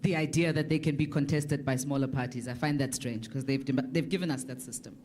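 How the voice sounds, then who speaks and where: A woman's voice: extremely low pitch, steady tone, brisk, a little mellow. A South African woman making a political argument as a panelist, articulate and emphatic, repeating phrases for weight. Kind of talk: prepared political argument at a public forum.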